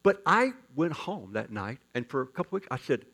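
A man pastor preaching a sermon as folksy, joking storytelling, with sudden loud rises in his delivery. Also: medium pitch, sing-song, normal speed, vocal fry, breathy edge.

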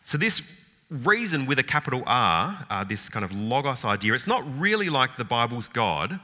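A man's voice: mid-range voice, sing-song, normal speed, sharp tone. A man giving an informal Bible lecture, thoughtful, with frequent fillers, restarts and rhetorical questions. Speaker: Bible teacher. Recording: long hall reverb.

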